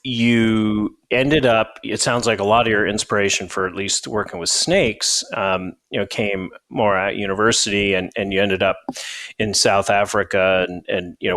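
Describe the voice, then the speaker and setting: A man hosting a podcast interview, talking in a relaxed, chatty way with many fillers and restarts. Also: on the low side, moderate pace, no room sound. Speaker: podcast host. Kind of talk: casual interview.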